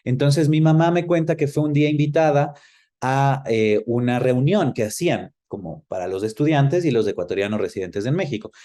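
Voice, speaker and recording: A man's voice: medium pitch, moderate pace, dark tone. A man speaking conversationally as an interview guest, thoughtfully searching for words and rephrasing as he goes.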